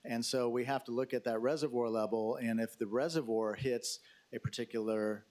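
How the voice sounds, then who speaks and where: Emergency official, male, mid-pitch, halting delivery, fillers and restarts, formal "sir". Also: steady tone, darkish tone. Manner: hesitant, many fillers and restarts; addresses questioner as sir. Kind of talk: answering questions at a council briefing.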